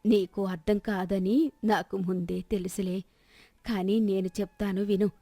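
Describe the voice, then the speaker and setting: Stingy old granny for a storybook tale played by a woman, scolding lecturer, mellow tone. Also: medium pitch, steady tone, unhurried, no echo. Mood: scolding, preachy. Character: stingy, preachy old grandmother.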